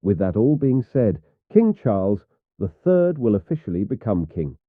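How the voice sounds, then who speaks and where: A man reading a solemn scripted news bulletin in a formal, measured way, as a news announcer. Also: fairly low pitch, sing-song, dry recording. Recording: tinny, phone-like narrow band.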